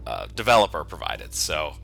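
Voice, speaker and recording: A man giving an informal, step-by-step software tutorial as a developer walking through code. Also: a bass voice, big pitch swings, normal speed, vocal fry, soft background music. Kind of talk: screencast coding tutorial, semi-improvised walkthrough.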